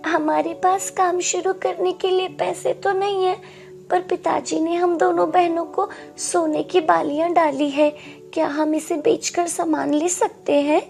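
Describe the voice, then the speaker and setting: A woman voicing an earnest, polite young girl in an animated moral story, very high-pitched and creaky. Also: a little slow, faint music behind, heavily acted. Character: brave, polite young orphan girl with little sister.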